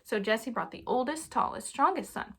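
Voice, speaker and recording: A young adult woman reading a storybook aloud to children in an informal, animated way. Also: a little high, moderate pace, slight background noise. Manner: casual, child-directed, animated on dialogue.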